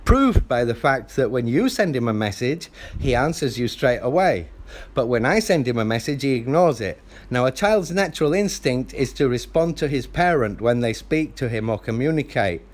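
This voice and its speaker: Sex: man. Role: father on a phone call. Style: heated argument. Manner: insistent, lecturing, loud. Mic: phone held close. Pitch: mid. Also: very animated, moderate pace. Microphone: phone held close to mouth.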